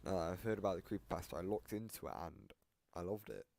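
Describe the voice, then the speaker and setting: A man's voice: sits low, expressive, fast, gravelly, darkish tone. A man giving casual commentary on a horror fan game as a co-host. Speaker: reaction video co-host.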